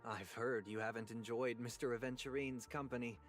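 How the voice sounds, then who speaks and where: A male actor playing a suave, suspicious interrogator in a video game, speaking in a measured, formal, probing way. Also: mid-range voice, fairly even intonation, a bit bright, natural voice. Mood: calmly persuasive. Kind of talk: scripted video game dialogue. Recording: music behind the voice. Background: music.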